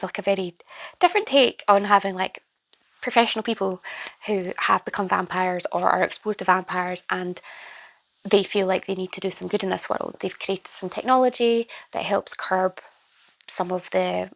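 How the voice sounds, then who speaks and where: A young woman with a croaky voice from a cold, chatting casually about her reading with many fillers and restarts. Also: mid-range voice, fairly bright tone. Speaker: book vlogger. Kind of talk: casual reading wrap-up chat.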